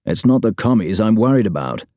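Audiobook role read by a man: public school English official, measured, cautionary, precise to a fault. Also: on the low side, very animated, warm tone, no echo, studio quality, pitched above the performer's own voice. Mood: wary warning.

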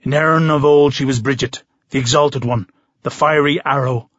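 A man's voice: mid-range voice, fairly even intonation, moderate pace, fairly bright tone, slightly rough, dry recording, dull audio, voice pushed down. A man voicing a fervent, bitter druid in an audiobook, with a solemn, incantatory delivery. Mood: reverent, incantatory. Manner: incantatory, grandiose. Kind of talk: audiobook character reading.

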